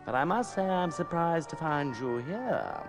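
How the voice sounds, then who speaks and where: Pompous, swooping villainous bishop. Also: male voice, a little high, big pitch swings, unhurried. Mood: smug, mocking. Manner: pompous, theatrical, ornate speech. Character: grandiose scheming villainous bishop hungry for power. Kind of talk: theatrical video game villain acting. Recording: game dialogue over clear background music.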